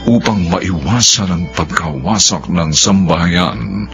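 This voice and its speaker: A man's voice: deep voice, animated, slow, fairly bright tone, lo-fi sound. A man with a Filipino accent delivering dramatic scripted narration as the announcer of a radio drama. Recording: music behind the voice.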